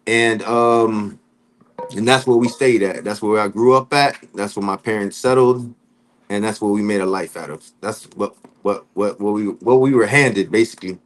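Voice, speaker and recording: Laid-back low-voiced Florida man. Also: moderate pace. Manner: relaxed street slang, frequent "you know what I'm saying". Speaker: podcast guest. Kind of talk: casual storytelling interview.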